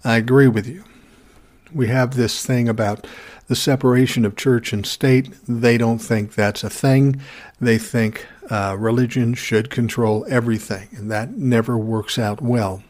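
A man in his sixties with a cold and a scratchy, hoarse throat, hosting a podcast in a calm, measured, plainspoken way, sitting close to the mic. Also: mid-range voice. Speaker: podcast host. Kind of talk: casual solo podcast talk. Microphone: close to the microphone.

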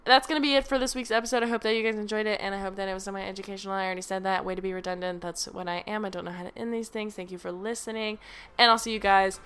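A woman's voice: medium pitch, steady tone, very fast, fairly bright tone, clear, resonant tone. A young woman hosting her own podcast from home, rambling casually with lots of fillers and self-deprecating asides, drifting on and off her microphone. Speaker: podcast host. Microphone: home microphone, drifting away from it.

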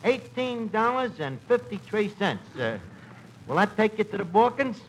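A man's voice: high voice, very animated, normal speed. An actor playing a gullible, good-hearted, earnest New York everyman with a slangy accent in old-time radio comedy. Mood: anxiously helpful. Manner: naive, earnest, awestruck. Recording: noisy old radio broadcast.

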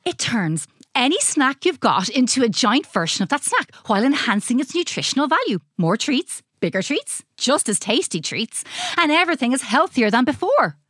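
An eccentric old man of science , acted out by a woman for a children's story. The voice is high and leaps about in pitch, stammering and exclaiming with great excitement, recorded close and dry. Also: moderate pace, husky, no room sound, exaggerated voice. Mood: excited boasting.

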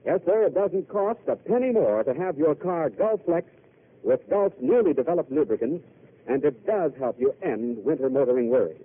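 Lively old-time radio ad announcer. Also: male voice, on the high side. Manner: lively salesman delivery, quick rehearsed patter. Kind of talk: live radio commercial read. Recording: tinny old radio broadcast.

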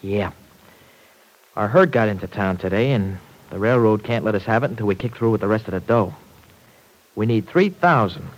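Brash slangy young man, lively pitch. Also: moderate pace, pauses often, low-quality recording. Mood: rueful, casual. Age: young adult. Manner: slangy, ungrammatical folksy talk. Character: brash, slangy young rancher, big-hearted and cocky. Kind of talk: old-time radio serial acting.

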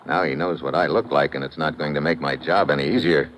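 An actor playing a wry, tough American government agent in a clipped, wisecracking old-time radio detective style. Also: male voice, rumbling low, fairly even intonation, fast talker, fairly bright tone. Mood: dry, matter-of-fact. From United States. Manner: clipped, wisecracking, hard-boiled. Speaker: lead actor and narrator. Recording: muffled vintage radio recording, music behind.

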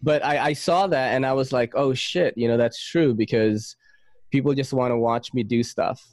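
A man hosting a podcast, talking in a relaxed, rambling way with many fillers and casual swearing. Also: medium pitch, normal speed, dry recording, clean studio sound. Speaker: podcast host.

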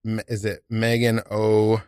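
A man hosting an improv comedy podcast, talking casually and a bit haltingly. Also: lowish voice, completely flat delivery, darkish tone, clear, resonant tone, dry recording, clean studio sound. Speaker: podcast host. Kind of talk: casual chat.

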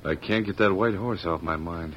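An actor playing a scheming, wary jailed outlaw with a rustic, slangy delivery in an old radio western. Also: male voice, low-pitched, moderate pace, fairly bright tone. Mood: watchful. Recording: muffled, very noisy vintage radio.

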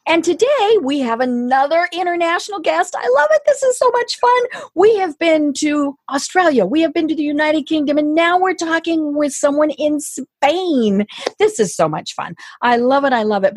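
A woman's voice: high-pitched, big pitch swings, normal speed, a little mellow, no echo, studio quality. A woman hosting an interview podcast in a chatty, conversational way, with an enthusiastic, gushing manner. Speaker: podcast host.

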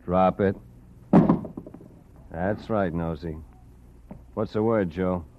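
A low, cool-headed gangster. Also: male voice, slow. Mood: menacing. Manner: calm, menacing, clipped. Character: cool professional gangster thug. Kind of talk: 1940s radio acting. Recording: noisy, dull old radio recording.

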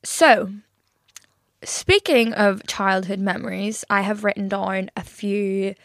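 A woman's voice: medium pitch, slow, dry recording, clean studio sound. A young woman hosting a podcast in a casual chat, swearing freely and laughing often. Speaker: podcast host.

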